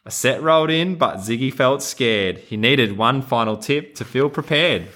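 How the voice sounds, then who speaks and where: A man's voice: mid-range voice, normal speed, a bit bright. An Australian man who hosts a podcast, talking casually and reading a children's storybook in a playful, encouraging way. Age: adult. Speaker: podcast host.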